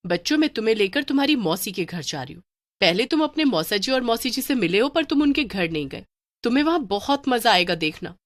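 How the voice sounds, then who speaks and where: An exasperated mother, acted by a woman narrator in an animated story. Her voice is high and very lively, swinging widely, and recorded close and dry. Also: a little fast, dry recording. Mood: settled. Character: exasperated, outspoken mother of two children. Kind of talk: animated Hindi story acting.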